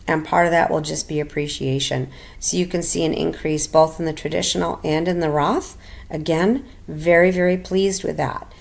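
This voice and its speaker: Slightly raspy, gravelly middle-aged woman. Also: low voice. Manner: halting, many ums and restarts. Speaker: personal finance vlogger. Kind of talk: casual voiceover narration over a screen recording. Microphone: home voiceover mic.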